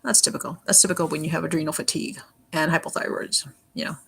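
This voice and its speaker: A livestream host talking casually and earnestly in a rambling, halting way, often repeating phrases and saying "you know". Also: mid-range voice, vocal fry, sharp tone, slight background noise.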